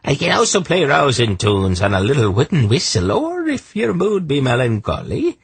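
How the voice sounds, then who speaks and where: A man's voice: mid-range voice, wildly swooping pitch, normal speed, gravelly, breathy edge, no room sound, voice pushed up, full character voice. A man voicing a gaudy little male juggler who speaks in a lilting brogue, flowery and ingratiating. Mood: wheedling.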